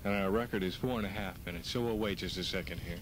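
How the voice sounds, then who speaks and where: A man's voice: low-pitched, quick pace, background noise. A man hosting an evening music show in 1970s radio DJ style, on an old aircheck. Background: loud music.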